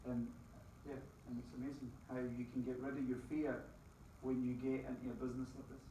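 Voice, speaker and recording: A middle-aged Scottish man giving a lively motivational talk to a live audience, quizzing the crowd with folksy asides. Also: mid-range voice, brisk, a little hiss or hum. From Scotland. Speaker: business opportunity presenter. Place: presentation room with an audience. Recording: very echoey room, distant mic.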